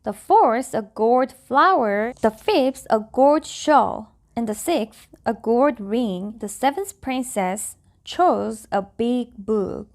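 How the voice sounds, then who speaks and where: A woman's voice: a little high, animated, slow pace, hardly any pauses, darkish tone. A woman with a Korean accent, a native Korean speaker, reading stories aloud and chatting casually as a vlogger. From South Korea. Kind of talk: storybook reading with casual chat.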